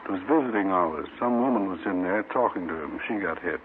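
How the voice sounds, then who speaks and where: A man playing a sober hospital doctor in a 1950s radio drama, reporting gravely in clipped phrases. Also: low-pitched, expressive, fairly quick. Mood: grave, matter-of-fact. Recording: noisy, narrow-band old radio recording.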